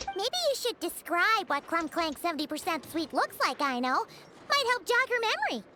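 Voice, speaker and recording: A woman's voice: very high-pitched, sing-song, normal speed, heavy background noise. A woman voicing a perky, childlike girl companion in a fantasy video game. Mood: helpful, upbeat.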